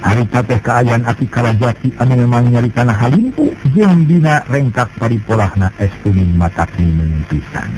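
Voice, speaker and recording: A male storyteller narrating a folk tale in a measured, expressive way. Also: medium pitch, low-quality recording. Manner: measured, expressive narration with rising and falling emphasis. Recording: music playing behind.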